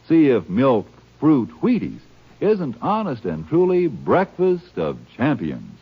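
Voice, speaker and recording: A man's voice: mid-range voice, huge pitch swings, takes it slowly, breathy edge. A man with an American accent reading a 1950s radio advertisement, emphatic and persuasive. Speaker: commercial announcer. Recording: muffled vintage radio recording.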